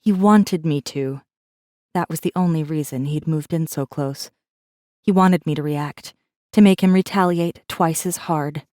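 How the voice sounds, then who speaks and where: A woman's voice: low-pitched, unhurried, warm tone, no room sound, studio quality. A woman playing a defiant, bitter captive rebel assassin who narrates her own story in an intense, brooding way. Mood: resolute. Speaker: audiobook narrator. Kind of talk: first-person dramatic narration.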